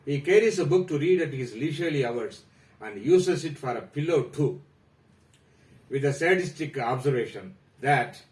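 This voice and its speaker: A man with an Indian accent, presenting a prepared talk about a novel in a formal, measured way that trails off at the ends of phrases. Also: medium pitch, lively intonation, darkish tone, echoey room, recorded from a distance. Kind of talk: reading a prepared literary talk.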